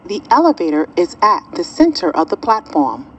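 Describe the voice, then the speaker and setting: A woman's voice: fairly low pitch, sing-song, moderate pace, muffled recording. A woman reading a scripted, recorded accessibility announcement over the speakers inside a subway car. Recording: distant from mic, faint train noise.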